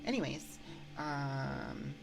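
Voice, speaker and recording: A woman hosting a casual livestream chat, thinking aloud in a hesitant, halting way into a close microphone. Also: rumbling low, expressive, vocal fry, music in the background, low-quality recording. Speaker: livestream host. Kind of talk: casual livestream chat, answering viewer comments. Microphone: close binaural microphone.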